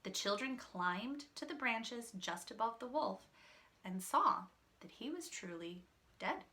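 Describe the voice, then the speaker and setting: A woman's voice: a little high, expressive, slow, sharp tone. A woman reading a children's story aloud, dramatic and teacherly, with asides to the listeners.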